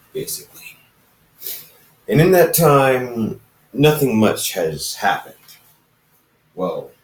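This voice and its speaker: A man reading a story aloud informally, halting and casual, with dramatic pauses and asides to listeners. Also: on the low side. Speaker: fan fiction narrator reading own recording. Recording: roomy, echoey, distant microphone.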